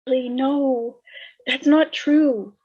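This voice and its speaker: A woman playing a guilt-ridden, grieving teenage girl in a table read, halting and emotional, over a muffled video call. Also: high voice, steady tone, darkish tone, no echo. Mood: pleading, distressed. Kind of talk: fan table read acting.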